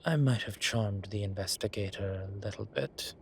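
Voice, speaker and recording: A man playing a flirtatious, cheeky incubus demon in an audio roleplay, talking in a teasing, suggestive way with drawn-out pauses. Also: on the low side, vocal fry, slight background noise. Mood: smug flirting. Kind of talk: audio roleplay acting, one-sided conversation.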